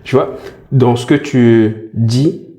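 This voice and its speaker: A man's voice: mid-range voice, slow pace, dark tone. Man hosting a podcast, echoey room. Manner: attentive, short encouraging backchannels. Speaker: podcast host. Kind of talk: casual interview. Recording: roomy echo with faint music.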